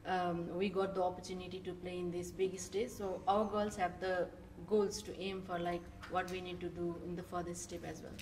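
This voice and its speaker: A woman's voice: on the low side, flat delivery, normal speed, hardly any pauses, darkish tone. A woman cricket player from Nepal with a Nepali accent, answering casually at a press conference. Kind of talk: casual post-match interview answers. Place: indoor press conference room. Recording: distant mic, faint noise.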